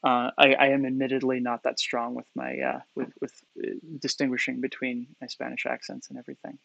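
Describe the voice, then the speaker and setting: A man's voice: mid-range voice, completely flat delivery, brisk, gravelly, fairly bright tone, dry recording, muffled recording. A man with a Coloradan accent answering viewer questions in a live-stream tutorial, hesitant, with many fillers and restarts, in a small indoor room. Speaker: poi spinning instructor.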